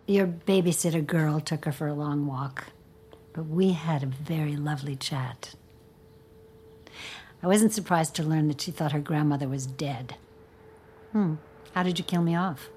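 A woman's voice: sits low, a little slow, long pauses, mellow, slight background noise. An actress playing Diana's spiteful older mother, who mocks and threatens her daughter in a theatrical, menacing way. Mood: taunting.

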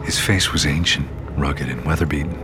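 A man's voice: rumbling low, normal speed, noisy background. An actor playing a tormented, parched man who narrates a nightmare in an audio drama, grave and brooding. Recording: loud music under the voice.

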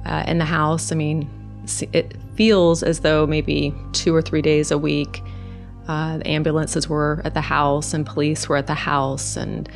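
A middle-aged woman from Norway with a Norwegian accent, soft-spoken and hesitant, telling her personal story in a conversation. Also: low voice, level tone, unhurried, vocal fry, faint music behind. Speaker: guest.

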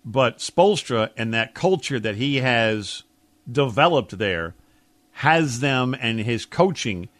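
An American man with a medium-pitched voice co-hosts sports radio. He is blunt and emphatic, talking casually and colloquially, close to the mic in a dry studio. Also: animated, slow pace, a bit bright, no room sound. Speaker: sports talk radio co-host. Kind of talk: opinionated sports talk, casual chat.

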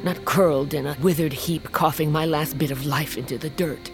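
A woman's voice: low-pitched, fairly even intonation, normal speed. Proud, weary warrior queen. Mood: defiant. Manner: weighty, regretful, rough-tongued. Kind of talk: fantasy audio drama acting. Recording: music underneath.

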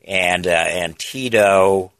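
A middle-aged man with a big booming voice, a guest telling stories in a casual chat, animated and halting with many restarts. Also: dry recording, studio quality. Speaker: podcast guest, veteran TV reporter.

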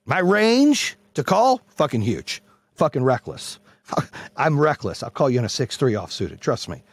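Husky, brash motivational man. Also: mid-range voice, very animated, measured pace, dry recording. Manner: brash, profane, emphatic, repeats phrases for punch. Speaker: motivational speaker and host. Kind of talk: motivational monologue.

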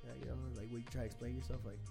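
A man's voice: mid-range voice, level tone, racing, creaky, music in the background. A man co-hosting a casual podcast in a laid-back, slangy, joking way. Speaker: podcast co-host. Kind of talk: casual chat.

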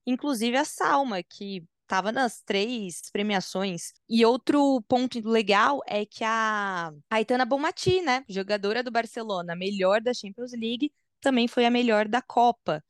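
A woman's voice: fairly high pitch, measured pace, few pauses, no room sound, clean studio sound. A Brazilian Portuguese-speaking woman co-hosting a casual sports chat, eager and playful as she adds her points. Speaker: podcast co-host.

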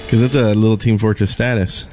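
A man's voice: on the low side, steady tone, a little fast, over music. Casual man chatting on a podcast. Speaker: podcast co-host. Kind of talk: casual gaming podcast chat.

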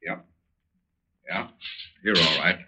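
An actor playing a cool-headed outlaw leader in an old radio drama, gruff and commanding. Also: male voice, low-pitched, slow pace. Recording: dull, noisy old radio recording.

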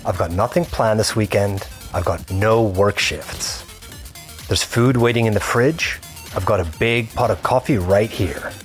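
An earnest, game-obsessed guy, played by a man, narrates his session in a fairly low voice. He confides in short, broken phrases, as in an audio drama, over faint music. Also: a little slow, close to an everyday voice. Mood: settled, eager. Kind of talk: first-person scripted audio drama narration.

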